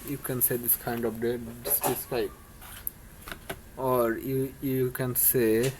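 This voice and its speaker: A man with a Bangladeshi accent coaches beginners in spoken English, speaking slowly and in a flat, even tone. His phrasing is earnest and halting, full of restarts and broken grammar. A faint background hum sits under his voice. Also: medium pitch. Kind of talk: informal English lesson to camera.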